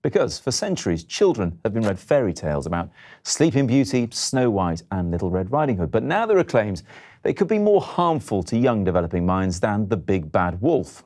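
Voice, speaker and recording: British newsman with a lowish voice. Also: darkish tone. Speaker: news presenter and interviewer. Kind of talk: broadcast news presenting. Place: TV studio.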